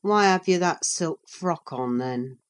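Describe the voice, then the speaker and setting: Sullen stubborn young guy, blunt and firm, a bit low, woman reader doing him. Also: lively intonation, slow, smooth. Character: sullen, resentful young man.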